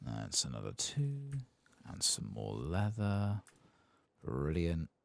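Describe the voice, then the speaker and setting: A soft-spoken man quietly commentating a video game in ASMR style, murmuring as if to himself. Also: a bass voice, huge pitch swings, slow, gravelly. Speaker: ASMR gaming streamer.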